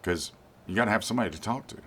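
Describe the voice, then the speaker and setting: An older man with a low-pitched voice hosts an informal gear review. He talks straight to his viewers in a deliberate, emphatic way, repeating words to drive a point home. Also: fairly bright tone, a little hiss or hum. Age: 50s or older. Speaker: product reviewer, channel host.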